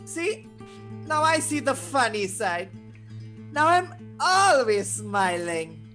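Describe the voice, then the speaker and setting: A man playing a manic, menacing criminal clown in a live table read, taunting with drawn-out words and cackles. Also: very high-pitched, big pitch swings, bright, forceful tone, faint music behind, exaggerated voice. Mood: sinister, taunting.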